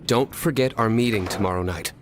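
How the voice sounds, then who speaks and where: A man playing an aloof, cynical young tavern owner in a video game, speaking in a cool, dry, measured way. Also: lowish voice, noisy background, close to an everyday voice. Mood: composed. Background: background music.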